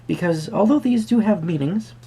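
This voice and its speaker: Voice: mid-range voice, lively intonation, moderate pace, mellow, husky, rough recording. A tarot reader speaking to the listener in a roleplay, musing hesitantly in wordy, formal phrases. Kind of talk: soft-spoken roleplay tarot reading addressed to the viewer.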